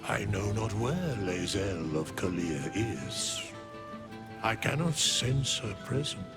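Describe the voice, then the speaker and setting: Game sage: undead, all-knowing, grave, creaky voice with expressive swings, music behind. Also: male voice, on the low side, full character voice. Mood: solemn. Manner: grave, archaic, measured pronouncements. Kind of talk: video game character acting.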